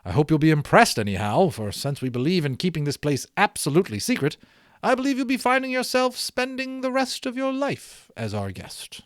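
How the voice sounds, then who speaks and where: A man voicing an elegant, fussy but cordial gentleman in a storybook, speaking in a courtly, insistent, formal way. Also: high-pitched, big pitch swings, fairly quick, dry recording, studio quality, voice pushed up. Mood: courteous, proud. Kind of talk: storybook read-aloud character voice.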